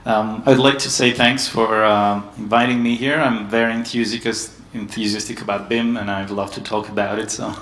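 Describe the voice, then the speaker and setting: A man from Hungary giving a conference panel talk, steady and hesitant with many ums. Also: fairly low pitch, normal speed, few pauses, echoey room, a little hiss or hum. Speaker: panel speaker. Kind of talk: conference presentation.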